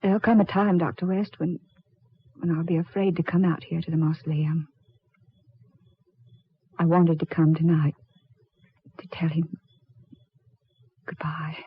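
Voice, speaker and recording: Bereaved widow, vintage radio chiller: anxious, melodramatic, breathy, on a thin phone-like recording. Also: female voice, low voice, flat delivery, a little slow, long pauses, full character voice. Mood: grieving. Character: grieving, anxious, devoted young-to-middle-aged widow. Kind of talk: 1940s radio horror acting.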